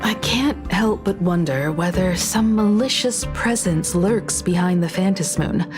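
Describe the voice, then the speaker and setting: Calm formal lady acting in a game, slow and lower voice, music under it. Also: close to an everyday voice. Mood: calm, pensive. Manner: measured, formal. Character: calm, thoughtful, courteous adult female companion.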